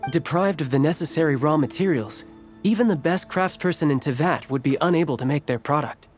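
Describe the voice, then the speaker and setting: A man's voice: fairly high pitch, brisk, darkish tone, soft background music, close to an everyday voice. A man playing a polite, studious young nobleman in a video game, speaking in a courtly, formal and articulate way. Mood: calm, scheming.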